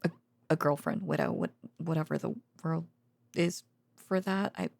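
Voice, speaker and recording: Female VA as weary, affectionate scientist girlfriend for intimate audio roleplay. Gravelly fry, dry close sound, hesitant rambling, wry teasing. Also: deep voice, wildly swooping pitch, moderate pace, a little mellow, no room sound, heavily acted. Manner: hesitant, rambling, sighing, wryly sarcastic. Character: tired, teasing affectionate girlfriend, a researcher at a secret containment foundation. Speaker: voice actor.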